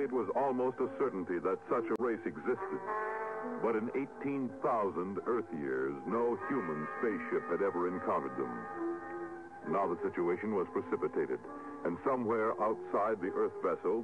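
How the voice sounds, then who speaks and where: A man narrating a scripted drama in a solemn, portentous 1950s radio style. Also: sits low. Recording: tinny vintage radio recording. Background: music.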